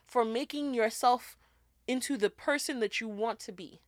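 A young woman co-hosting a casual podcast, animated and laughing often as she gives advice point by point. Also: a little high, normal speed, no room sound, clean studio sound. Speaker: podcast co-host. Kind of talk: casual chat.

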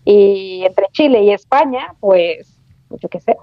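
A Mexican woman guest talking in a chatty, animated way, with colloquial slang. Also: mid-range voice, takes it slowly, no room sound, dull audio. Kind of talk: casual podcast conversation.

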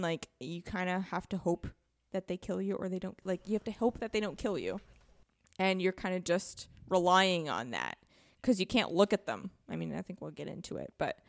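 Candid woman chatting about video games. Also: medium pitch. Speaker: podcast guest co-host. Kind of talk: casual video game discussion.

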